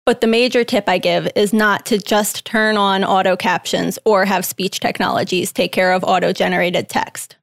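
Woman, fry in the voice, measured reading of interview answers, dry recording. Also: mid-range voice, steady tone, dry recording. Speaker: stand-in voice reading the guest's typed answers.

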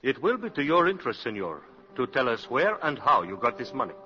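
An actor playing a formal, insistent Brazilian investigator in a 1950s radio drama. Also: male voice, mid-range voice, sing-song, brisk, sharp tone. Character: stern male Brazilian government investigator. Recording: dull, echoing vintage radio recording.

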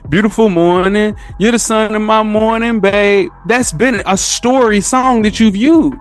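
A man's voice: sits high, measured pace. A man chatting casually as a podcast guest, emphatic and slangy, often calling people bro.

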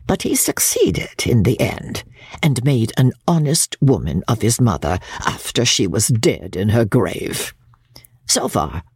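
Woman performing a husky, gravelly, bitter Victorian matron. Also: very deep voice, darkish tone, no echo, clean studio sound, full character voice. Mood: self-justifying. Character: bitter, proud older Victorian woman confessing by letter. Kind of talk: dramatic audiobook reading of classic literature.

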